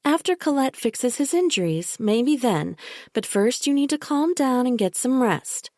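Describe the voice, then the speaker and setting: A sugary, smothering woman coos in a high, syrupy voice, put on by a woman reading an audiobook. Her sweetness is thick and sickly, drawn out slowly. The sound is close and dry, with no room around it. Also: big pitch swings, dark tone, no room sound. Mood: cloying.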